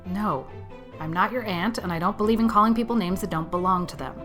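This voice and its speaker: A woman playing a stern, sharp-voiced spinster guardian. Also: medium pitch, faint music behind, lightly acted. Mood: flatly refusing. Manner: brisk, grim, disapproving, matter-of-fact. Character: stern, practical, no-nonsense older spinster guardian. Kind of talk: audiobook character acting.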